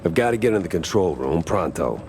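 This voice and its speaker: A man's voice: low-pitched, sing-song, fairly quick, a little mellow, a little hiss or hum. A man playing a cynical, wisecracking private eye and war veteran in a cartoon noir game, wry and hardboiled. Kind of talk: noir video game acting and narration.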